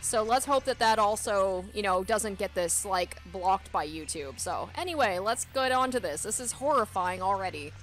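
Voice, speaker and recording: A woman's voice: mid-range voice, animated, moderate pace, few pauses, fairly bright tone. Woman reading a visual novel aloud over music. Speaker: let's play host and narrator.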